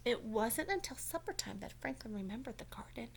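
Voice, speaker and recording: A woman's voice: on the high side, animated, a little fast, gravelly, a little hiss or hum. A woman reading a storybook aloud as a teacher, encouraging and stopping to check in with young listeners. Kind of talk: storybook read-aloud with questions to children.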